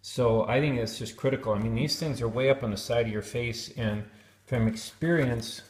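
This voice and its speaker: A man's voice: medium pitch, normal speed, a little mellow. Man in an echoey room, explaining step by step. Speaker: product reviewer demonstrating helmet intercoms. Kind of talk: hands-on gear demonstration, unscripted. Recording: roomy, echoing sound.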